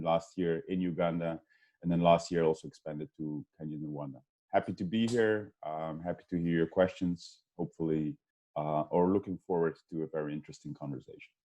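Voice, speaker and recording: Deep-voiced Belgian-born man, halting. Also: warm tone. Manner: hesitant, many ums and restarts. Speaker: investment fund co-founder. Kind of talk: webinar panel talk.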